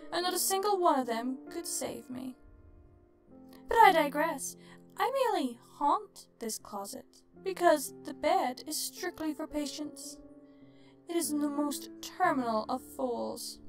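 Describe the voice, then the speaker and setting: A woman's voice: high-pitched, animated, voice pushed up. Woman playing a taunting, theatrical, morbid ghost over music. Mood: gleeful, taunting. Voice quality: groaning, creaky, cackling delivery. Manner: theatrical, drawn-out mock-sweet taunting. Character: gleefully morbid, taunting ghost haunting a hospital closet.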